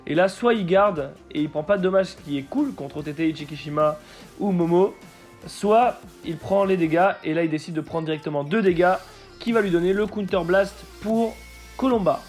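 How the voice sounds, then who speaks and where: A man with a hoarse voice from a cold, commentating a card game match he played and explaining each play step by step. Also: sits high, normal speed, darkish tone, faint music behind. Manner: explains plays step by step with frequent fillers.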